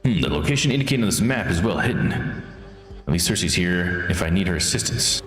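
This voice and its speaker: A man's voice: deep voice, lively intonation, fairly quick, over music. A man reading aloud the lines of an eager, self-assured investigator in a visual novel, in a theatrical, declarative way. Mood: pondering. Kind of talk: reading game dialogue aloud.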